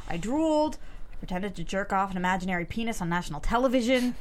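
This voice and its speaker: A woman's voice: mid-range voice, expressive, fast, slight background noise, lightly acted. A woman playing a scrappy, awkwardly earnest and profane young CEO in a comedy script table read.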